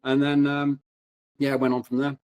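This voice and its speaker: A man's voice: medium pitch, completely flat delivery, normal speed, darkish tone, no echo, clean studio sound. A man talking casually as an interview guest, telling rambling, wry anecdotes and often breaking off mid-sentence. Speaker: podcast guest, paramedic and fiction writer.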